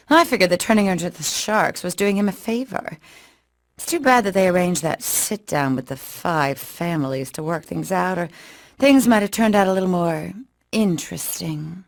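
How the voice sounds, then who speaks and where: A woman's voice: on the low side, no room sound, clean studio sound. A woman voicing a vain, scheming fish villainess in a video game, disdainful, drawing out her words.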